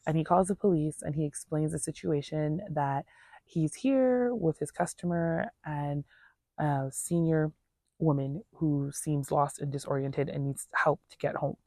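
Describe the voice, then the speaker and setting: Woman, deep-pitched voice, casual storytelling, earnest and conversational, close dry sound. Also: a little slow, warm tone, dry recording. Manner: earnest, conversational, acts out reported dialogue. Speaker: storyteller vlogger.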